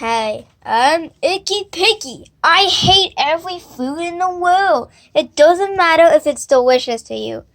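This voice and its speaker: Picky little critter, played by a woman: squeaky-high, unhurried, grumbly and dismissive. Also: lively intonation, a bit bright, heavily acted. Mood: disgusted. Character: picky cartoon critter who hates all food.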